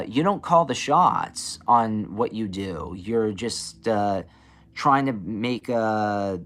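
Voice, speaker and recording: A young man with a raspy voice, a blues and soul singer, talking casually in an interview with many fillers and restarts. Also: on the low side, fairly bright tone.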